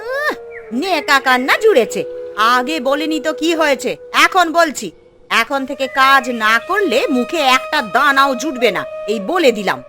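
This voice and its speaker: A woman voicing a scheming witch posing as a sharp-tongued, scolding village housewife in a fairy-tale cartoon. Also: sits high, animated, normal speed, over music.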